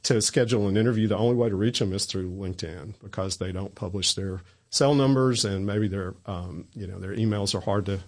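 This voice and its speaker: An older man from Tennessee, a newspaper columnist chatting as an interview guest in a relaxed, rambling way. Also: on the low side, a little fast, few pauses. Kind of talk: casual interview.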